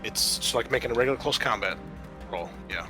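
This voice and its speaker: A man's voice: mid-range voice, a little fast, sharp tone. A man acting as game master, methodically explaining tabletop game rules to his players. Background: music.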